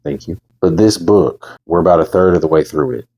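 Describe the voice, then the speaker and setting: A man reading a book aloud as host, casually and emphatically, with informal chatty asides and a husky voice. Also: sits low, a little fast, darkish tone. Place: bedroom. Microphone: new microphone.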